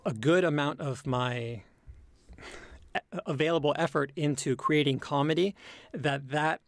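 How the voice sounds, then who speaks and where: A man, a native English speaker, talking reflectively as an interview guest in a measured, hesitant way that often qualifies itself. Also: on the high side. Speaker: interview guest, language learner and comedy creator. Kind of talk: casual reflective interview conversation.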